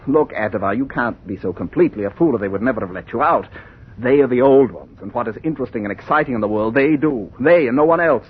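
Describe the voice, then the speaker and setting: A man's voice: mid-range voice, expressive, fast. An actor playing a young-looking immortal man who is condescending, eloquent and world-weary, in vintage radio drama style. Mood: weary, bitter. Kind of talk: 1940s radio horror drama acting. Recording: tinny, husky-sounding vintage radio recording.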